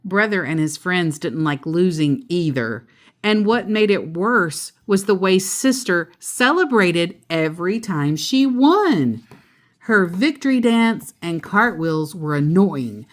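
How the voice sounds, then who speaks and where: A woman's voice: lowish voice, animated, slow pace. A woman reading a picture book aloud to a child, adding simple explanatory asides as she goes.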